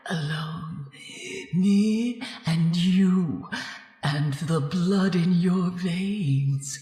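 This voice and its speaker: A woman's voice: lowish voice, warm tone. Creepy old vampire lady, super drawn out n insistent, big echoey reverb w music, radio horror play. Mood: menacing. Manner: slow, insistent, breathy whispering and gloating. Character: menacing undead old woman, bloodthirsty vampire. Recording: long echoing reverb with music behind.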